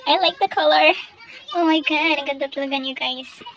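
A woman's voice: high voice, fairly even intonation, normal speed, fairly bright tone, poor audio. A Filipino woman giving a chatty, enthusiastic, casual product unboxing as a vlogger. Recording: home recording with background noise.

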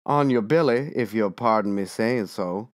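Woman reading as a pushy middle-aged male hotel owner, blunt, folksy, loud, smooth voice. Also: rumbling low, normal speed, dry recording, clean studio sound, pitched below the performer's own voice.

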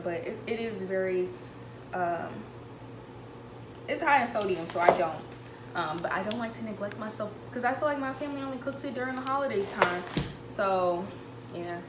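Young woman, casual vlog chat, informal and conversational, roomy kitchen sound, noticeable background noise. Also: medium pitch. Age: young adult. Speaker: college student vlogger. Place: small home kitchen, indoors. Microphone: camera a couple of metres away. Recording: echoey room with background noise.

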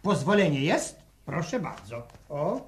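A man's voice: a little high, big pitch swings, a little slow, background noise. A swooping, slick travelling salesman. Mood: wheedling, defensive. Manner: wheedling, diminutive-laden, hesitant patter. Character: slick, fawning travelling portrait salesman, fussy and wheedling. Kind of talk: 1960s Polish radio drama acting.

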